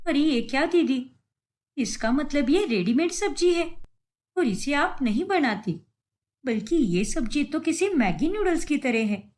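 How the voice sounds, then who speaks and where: A woman's voice: sits high, expressive, measured pace, darkish tone, voice pushed up. A woman voicing an earnest, virtuous younger sister-in-law in a moral cartoon. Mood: shocked, reproachful. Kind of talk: acted moral-story cartoon.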